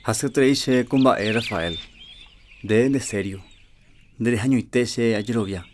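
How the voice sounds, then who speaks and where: A man's voice: medium pitch, measured pace, slight background noise, natural voice. Man as skeptical Paraguayan footballer, chatty radio-drama style, faint outdoor sound. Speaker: Roberto, a football team player. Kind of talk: educational radio drama acting.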